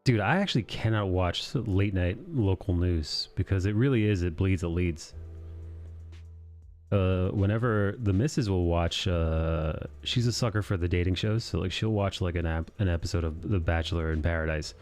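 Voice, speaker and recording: A man's voice: low-pitched, moderate pace, a little mellow, faint music behind. A man hosting a live stream, chatting casually with friends, joking and laughing often. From United States. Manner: jokey, puts on voices to mimic friends, laughs often. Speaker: streamer host chatting while drawing. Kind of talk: casual chat among friends.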